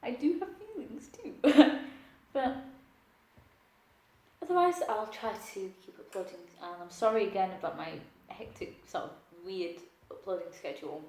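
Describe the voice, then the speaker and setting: A young woman with a sniffly nose talks casually to her camera at home while she eats, chatty and a little self-conscious, with plenty of little laughs. Her voice sits at an ordinary middle pitch, and the room around her rings with noticeable echo. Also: expressive, moderate pace, a little mellow. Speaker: mukbang host. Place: at home, indoors. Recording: echoey room.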